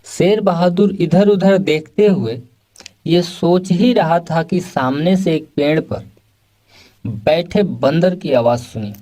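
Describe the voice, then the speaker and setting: A man's voice: fairly high pitch, takes it slowly, dark tone, poor audio. A man reading scripted narration for a children's moral story.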